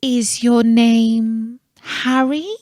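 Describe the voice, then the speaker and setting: A woman's voice: fairly high pitch, fairly even intonation, drawn-out words, darkish tone, dry recording, clean studio sound. A woman voicing an anxious young queen in a children's storybook, with a questioning delivery. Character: young queen anxiously guessing a secret name.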